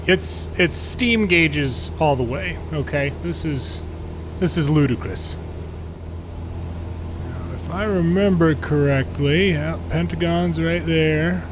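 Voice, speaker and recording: A man's voice: on the high side, takes it slowly. An American man giving casual, sardonic game commentary with exasperated asides. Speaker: gaming video host, pilot and former air traffic controller. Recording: steady background hum and noise.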